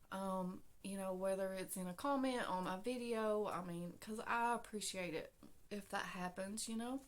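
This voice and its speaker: A woman, hosting a casual crochet vlog from her home craft room. She chats to viewers in a scattered, friendly way with many fillers. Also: mid-range voice. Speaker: crochet podcast host. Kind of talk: casual chat to camera. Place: small home craft room with yarn shelves.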